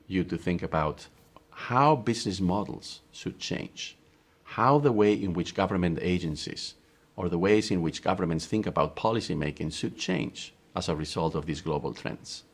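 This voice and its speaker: A middle-aged professor from Spain lectures with a Spanish accent. His voice sits very low. He speaks in a measured, emphatic way and poses questions to his students. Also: vocal fry, slightly rough. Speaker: university professor. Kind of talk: recorded online course lecture.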